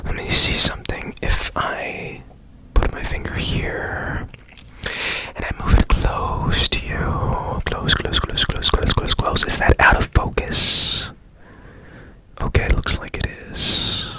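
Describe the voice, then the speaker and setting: A man whispering in a breathy, deliberate way up close to the mic, in an ASMR roleplay as a mysterious repairman. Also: pushed and bright. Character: strange secretive man repairing a broken robot. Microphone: close ASMR microphone.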